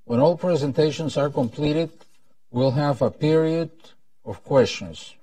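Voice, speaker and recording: Slow-speaking older Costa Rican man, mellow voice. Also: a little high, no room sound, recorded from a distance, poor audio. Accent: Costa Rican Spanish. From Costa Rica. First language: Spanish. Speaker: event host, former ambassador. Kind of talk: formal prepared welcome speech. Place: indoor conference room at a lectern. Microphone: lapel mic.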